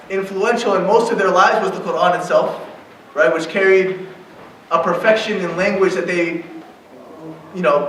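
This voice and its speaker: A man's voice: high voice, a bit bright, a little hiss or hum. A man giving an informal religious talk to young adults, speaking conversationally and often checking in with a questioning "right?". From United States. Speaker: guest lecturer at an Islamic center. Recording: very echoey hall, distant mic.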